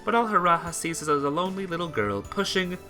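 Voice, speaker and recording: A man giving an expressive, theatrical reading of a visual novel as narrator, occasionally stumbling over words. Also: fairly high pitch, fast, soft background music.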